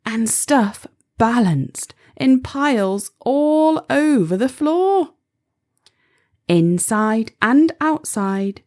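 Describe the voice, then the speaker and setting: A woman storyteller reading a children's picture book aloud in an expressive, deliberate way for young listeners. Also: mid-range voice. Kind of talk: scripted children's audiobook narration.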